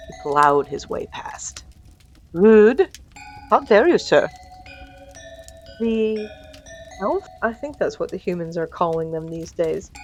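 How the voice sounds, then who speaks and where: A woman's voice: on the low side, a little mellow. A woman storyteller narrating a comic fantasy tale in a dramatic scripted style. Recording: background music under narration.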